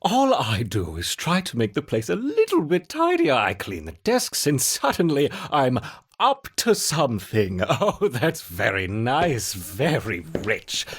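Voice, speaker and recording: An actor playing a scheming, eccentric mad scientist in a video game, with theatrical muttering and grandiose, evasive speech. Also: male voice, mid-range voice, wildly swooping pitch, measured pace, breathy edge, full character voice. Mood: indignant, sly. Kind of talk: video game character acting.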